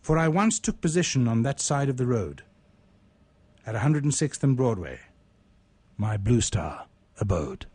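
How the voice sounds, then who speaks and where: A man speaks as a radio host and poet in a very dry recording with little room sound. His pitch sits in the middle, and his delivery is that of a storyteller sharing spoken word. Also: big pitch swings, measured pace, pauses often, darkish tone, breathy edge, no echo. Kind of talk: conversational storytelling and poetry recitation.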